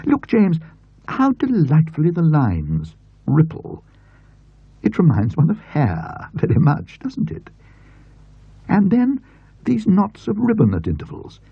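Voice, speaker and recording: A man voicing a gushing, imperious older English gentlewoman, an effusive aunt in an audiobook story. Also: on the high side, huge pitch swings, takes it slowly, vocal fry, pauses often, slightly rough, no echo, highs cut off, pitched above the performer's own voice, full character voice. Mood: enraptured. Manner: effusive, emphatic. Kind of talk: audiobook character voice.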